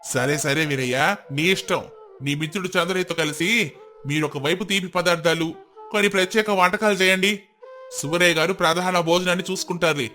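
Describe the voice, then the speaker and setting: A man's voice: sits high, a little fast, pushed and bright, faint music behind. A man voicing a proud but kindly village landlord in a story, authoritative and conciliatory. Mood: placating, firm.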